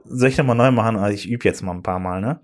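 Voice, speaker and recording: A man's voice: fairly low pitch, rapid-fire. A man in his thirties from Germany, co-hosting a podcast in a hesitant, playful way with many fillers. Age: 30s. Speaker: podcast co-host. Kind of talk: casual podcast chat.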